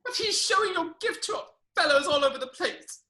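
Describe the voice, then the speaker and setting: Woman as lovesick young captain in an old stage farce, sharp bright voice, swoopy delivery, all hurt dignity. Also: extremely high pitch, expressive, moderate pace, exaggerated voice. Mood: reproachful, then remorseful. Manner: formal, wounded. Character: lovesick, wounded young army captain, male. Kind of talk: staged reading of Victorian farce.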